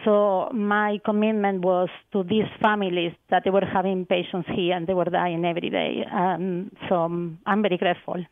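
A woman's voice: fairly low pitch, level tone, moderate pace, few pauses, dry recording. A woman from Argentina, a research associate, answering interview questions on a call, hesitant with many fillers and restarts. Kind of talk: radio interview guest. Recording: tinny phone line.

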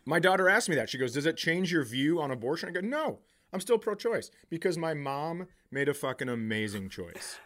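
Higher-pitched man telling irreverent stories. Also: sing-song, a little fast, hardly any pauses, fairly bright tone. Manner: irreverent, profane, joking. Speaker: comedian and podcast co-host. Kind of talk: casual comedic podcast banter, storytelling.

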